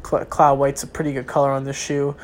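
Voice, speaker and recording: A man's voice: medium pitch, monotone, fast. A young man with a stuffy voice from a cold, talking casually and rambling with many ums. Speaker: vlogger. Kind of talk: casual solo talk on football and sneakers. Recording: faint background noise.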